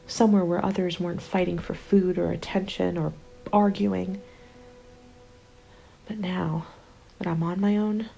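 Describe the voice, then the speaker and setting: A woman acting as a despairing apocalypse survivor narrates her story in an intimate ASMR roleplay. Her voice is soft and mellow, with a gravelly fry at the edges, and it often drops into a whisper. The delivery stays subdued and narrow in melody. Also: lowish voice. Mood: wistful, lonely. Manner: hushed, often slipping into whisper. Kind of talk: ASMR roleplay, scripted first-person narration. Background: soft music bed.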